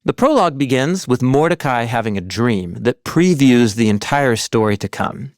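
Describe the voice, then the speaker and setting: Male educational narrator, medium pitch, teacherly but informal, scripted read, very dry studio sound. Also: lively intonation, moderate pace, no echo. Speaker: narrator of an animated Bible overview. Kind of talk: scripted explanatory narration with conversational asides. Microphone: close studio voice-over mic, dry.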